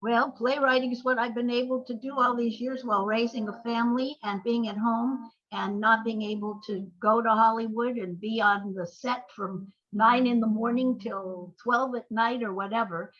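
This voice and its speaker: An older woman talking as an interview guest, telling stories conversationally in halting, reflective phrases. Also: on the high side, fairly even intonation, fairly bright tone, dull audio. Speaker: playwright and screenwriter guest.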